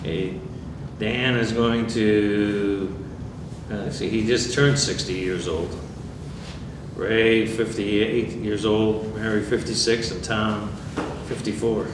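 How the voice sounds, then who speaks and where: A man's voice: lowish voice, steady tone. Older man, dry and plainspoken. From New York State. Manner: plainspoken, dry humor, measured. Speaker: veteran interviewee. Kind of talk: oral history interview. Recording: echoey room, far mic, background noise.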